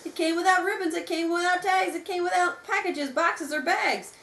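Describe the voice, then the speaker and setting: A woman reading a children's bedtime story aloud at home, with expressive, theatrical narration. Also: extremely high pitch, normal speed. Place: room at home. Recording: roomy home recording with faint noise.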